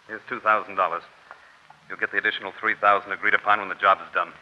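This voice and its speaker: Guy doing a menacing old-radio crime boss, low careful voice, muffled sound. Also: flat delivery, slight background noise, lightly acted. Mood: smooth, businesslike menace. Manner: measured, formal, menacing. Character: cold, careful male crime boss hiring killers. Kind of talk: 1940s radio acting.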